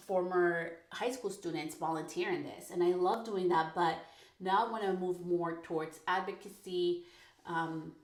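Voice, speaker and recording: A woman in her thirties hosting a personal podcast, talking frankly and casually, full of "like" and quick laughs. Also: fairly low pitch, darkish tone. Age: 30s. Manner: chatty, frank, peppered with "like", laughs often. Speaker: podcast host. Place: her bedroom recording corner. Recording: echoey home room.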